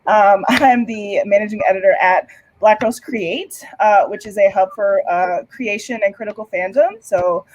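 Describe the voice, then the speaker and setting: A woman's voice: mid-range voice, normal speed, dry recording. Chatty woman on dull dry audio. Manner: giggly, chatty. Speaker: managing editor. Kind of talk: panel self-introduction. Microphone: video call.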